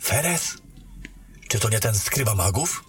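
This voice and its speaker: A man's voice: lowish voice, expressive, a little slow, fairly bright tone, slight background noise. A man playing an earnest, defensive young soldier captain in a fantasy audio drama. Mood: wry. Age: young adult. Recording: echoey hall, distant from microphone.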